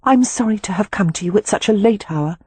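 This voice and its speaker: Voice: mid-range voice, a little fast, a little mellow, slightly rough, no room sound, voice pushed up, close to an everyday voice. A narrator voicing an earnest, distressed young married woman in an audiobook, pleading and emphatic in manner. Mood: apologetic. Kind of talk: audiobook character dialogue.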